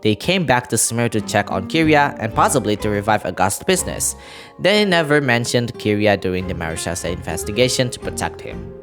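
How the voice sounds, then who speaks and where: Male recap narrator: lowish register, animated melody, scripted with offhand asides, faint music underneath. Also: brisk. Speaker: video creator narrating a game story recap.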